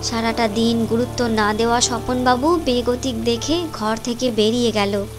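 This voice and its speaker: A woman's voice: on the high side, steady tone, moderate pace. A woman reading scripted storytelling narration for a moral cartoon. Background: music.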